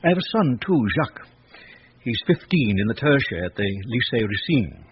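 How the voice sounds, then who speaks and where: A man playing a courteous, erudite, middle-aged gentleman with an obsession, speaking deliberately and at length in a radio drama. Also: mid-range voice, very animated, lightly acted. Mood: dryly amused. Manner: genteel, probing, deliberate, loquacious. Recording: dull old radio recording, faint noise.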